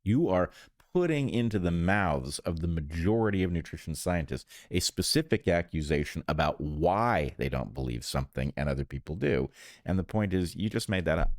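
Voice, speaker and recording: A man co-hosting an analytical podcast discussion, arguing deliberately with emphatic phrasing. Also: sits low, animated, no echo, studio quality. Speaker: podcast co-host, biologist.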